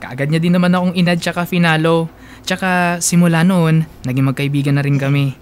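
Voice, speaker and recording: A man's voice: a little high, level tone, a little fast, slight background noise. A man with a Filipino accent narrating a first-person love story as a radio host.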